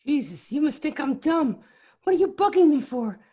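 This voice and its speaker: High-pitched, exasperated, sassy woman, acted by a woman. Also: big pitch swings, normal speed, warm tone. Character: Lois, exasperated, defiant female accomplice. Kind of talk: amateur online table read of a film script.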